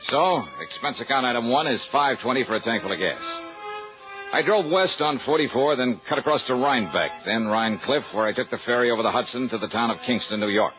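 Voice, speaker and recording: A man's voice: mid-range voice, expressive, brisk, music in the background, close to an everyday voice. An actor playing a wisecracking male insurance investigator around thirty, dry and easygoing in a 1950s radio drama. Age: about 30. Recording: dull, noisy old radio broadcast.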